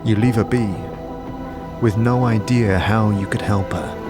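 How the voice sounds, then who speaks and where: A man's voice: lowish voice, fairly even intonation, measured pace, loud music behind the voice. A man performing dramatic scripted narration for an audio drama. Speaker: narrator and lead actor.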